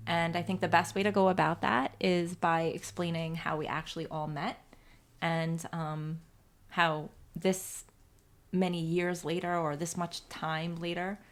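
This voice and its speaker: A woman in her forties hosting a podcast, speaking in a soft, reflective way with many pauses. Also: fairly low pitch. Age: 40s. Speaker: podcast host. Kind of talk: casual faith-based chat.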